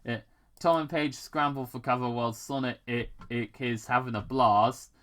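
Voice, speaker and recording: An amateur reader narrating fan fiction aloud in a halting way, stumbling and repeating words. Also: mid-range voice, fairly even intonation, a bit bright. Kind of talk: amateur story read-aloud.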